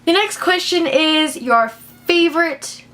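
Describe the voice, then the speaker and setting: A woman's voice: very high voice, takes it slowly, bright, forceful tone. A young woman talking casually and enthusiastically to camera as a book vlogger. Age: young adult.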